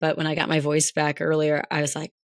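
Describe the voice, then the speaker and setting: Hoarse young woman co-host, low voice, dry close sound, chatty and rambling. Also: completely flat delivery, fast talker, dry recording. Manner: chatty, laughs often, rambling restarts. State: hoarse, recovering lost voice. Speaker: podcast co-host. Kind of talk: casual chat.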